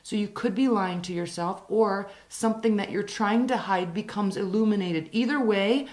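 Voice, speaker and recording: A woman with a raspy, hoarse voice reading tarot cards, earnest, repeating her advice and slowing down to stress it. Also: medium pitch, roomy sound. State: hoarse from talking for days. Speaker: tarot reader.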